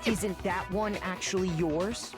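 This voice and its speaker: A man playing an earnest high-school boy in a fan-dubbed visual novel, laying out his reasoning in a measured, explanatory way. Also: steady tone, loud music behind the voice. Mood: firm, reasoning. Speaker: voice actor in a fan dub.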